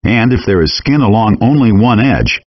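Calm man, lo-fi game voice-over. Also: on the low side, breathy edge. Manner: calm, measured, instructive. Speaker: game narrator. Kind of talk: scripted instructional voice-over.

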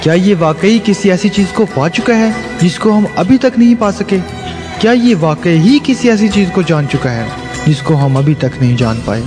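A man's voice: high voice, normal speed, natural voice. A man playing an earnest radio drama host who addresses listeners in a rhetorical way. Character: earnest male show host defending the speaker. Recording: reverberant hall, music behind.

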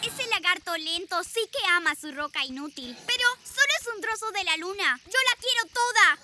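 A woman's voice: extremely high pitch, animated, normal speed, noisy background. A greedy moon-obsessed girl villain. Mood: gloating. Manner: gloating, possessive. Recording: loud music behind.

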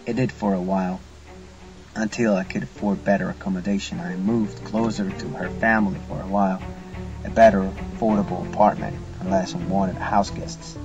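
A man voicing a weary, wistful old grandfather who tells his past slowly and reflectively in an audiobook drama. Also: sits low, fairly even intonation, distant mic. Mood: reminiscing. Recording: muffled recording with music behind.

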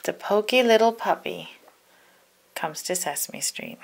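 A woman reads a story aloud for children. Her mid-pitched voice has plenty of creak in it. She tells it expressively and deliberately, leaning on key words. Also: lively intonation. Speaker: storybook read-aloud narrator. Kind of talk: scripted children's story narration.

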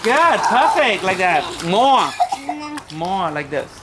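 A man's voice: sits high, big pitch swings, slow pace. Man coaching kids at the pool. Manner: coaxing, instructive, teasing. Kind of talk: casual family coaching. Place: backyard pool outdoors. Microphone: handheld camera a few meters off. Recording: splashing water noise.

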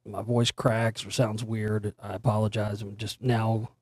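A middle-aged man hosting his own show, his voice hoarse and still recovering, rambling casually with fillers and the odd curse word. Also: fairly low pitch, level tone, measured pace, a little mellow, no room sound, clean studio sound. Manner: rambling, conversational, frequent fillers and mild swearing. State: hoarse, voice recovering after straining it. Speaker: radio show host. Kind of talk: casual solo talk show monologue.

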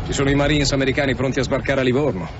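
A man's voice: mid-range voice, steady tone, very fast, natural voice. A male actor playing an obsessed, defiant police commissioner in an Italian radio drama, speaking with insistent intensity. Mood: wry, brooding. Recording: muffled, loud street and car noise behind.

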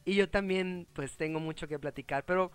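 A man in his thirties with a Mexican accent, chatting casually and excitably as a gaming show host, joking and repeating his phrases. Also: high-pitched, fairly quick, clean tone, no room sound. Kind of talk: casual chat over gameplay.

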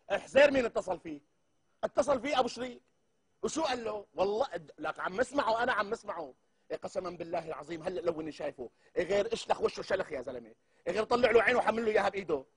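A man's voice: sits high, expressive, rapid-fire, fairly bright tone, husky, full character voice. A man playing an argumentative, hot-tempered barber in a comedy sketch, speaking with a Syrian accent and repeating himself. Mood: angry, exasperated. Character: hot-tempered, chatty male barber ranting into his phone.

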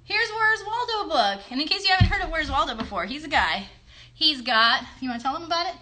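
Animated, high, bright-voiced woman children's host. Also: fast talker, slight background noise. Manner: animated, encouraging, child-directed. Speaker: host, puppeteer. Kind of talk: chatty children's livestream. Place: bedroom. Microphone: phone at arm's length.

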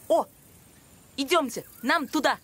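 A woman's voice: high-pitched, a little slow, fairly bright tone, slight background noise. A woman voicing a thoughtful, determined young boy in a children's cartoon, earnest in manner. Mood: excited. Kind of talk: animated children's cartoon acting. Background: music.

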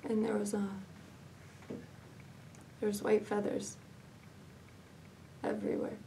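A woman performing a dramatic monologue as a fragile, haunted young woman, speaking haltingly with hesitant pauses and repetitions. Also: medium pitch, monotone, mellow, distant mic, a little hiss or hum. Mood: numb, grieving.

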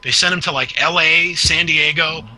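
A man's voice: a little high, fairly even intonation, moderate pace, sharp tone. A middle-aged man from Massachusetts, explaining health topics in casual chat over a video call headset. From Massachusetts, New England. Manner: expansive, gestures a lot while explaining. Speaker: guest health expert. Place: home room with window open and overhead fan.

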